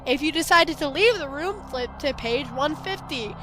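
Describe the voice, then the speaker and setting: A young woman playing a text adventure game, reading its lines aloud and commenting in between. She is a little hoarse from a cold, laughs often and swears loudly when the game surprises her. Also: high voice, lively intonation, moderate pace, faint music behind. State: hoarse, getting over a cold. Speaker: let's play gamer.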